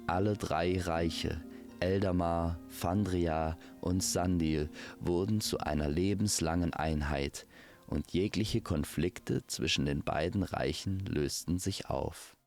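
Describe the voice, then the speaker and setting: A man tells a fairy tale in a very low voice, taking his time over each phrase. His delivery has a creaky, gravelly edge, and he speaks to the listener like a close friend. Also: steady tone, slow, a little mellow, faint music behind. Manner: addresses the listener intimately as a friend. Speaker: storyteller. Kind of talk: scripted fairy-tale narration for an audio story.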